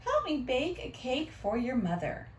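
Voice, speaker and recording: A woman's voice: high voice, huge pitch swings, measured pace, pitched above the performer's own voice, exaggerated voice. A woman voicing a helpful father frog in a children's picture book, calling out instructions. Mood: helpful, instructing. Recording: roomy, distant mic, faint noise.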